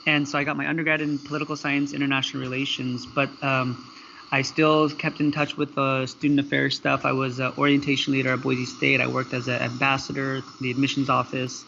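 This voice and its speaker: A man from the US answering interview questions in a halting, storytelling way, with many fillers. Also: mid-range voice, level tone, vocal fry, sharp tone. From United States. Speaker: guest, student affairs professional. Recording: dull lo-fi audio with faint noise.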